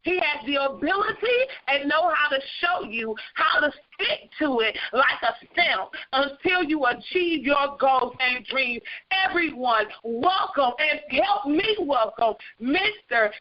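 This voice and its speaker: Female call host, high voice, upbeat scripted introduction, muffled conference-line sound. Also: slow pace. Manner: enthusiastic, energetic. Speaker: host introducing a guest speaker. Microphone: phone conference line.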